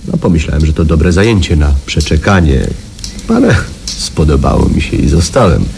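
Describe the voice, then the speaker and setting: A low male voice as a hardened backwoods guide in a radio play. He is a vengeful ex-robber who speaks deliberately and haltingly. There is some noise on the old recording. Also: big pitch swings, vocal fry, a little mellow, exaggerated voice. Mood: quiet bitterness. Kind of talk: Polish radio drama acting.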